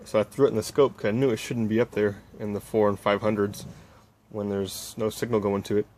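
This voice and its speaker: Lowish guy, pretty monotone, casually walking thru his diy project, noisy homemade recording. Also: a little fast. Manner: informal, explains step by step while working. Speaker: electronics hobbyist. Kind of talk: casual hands-on project update.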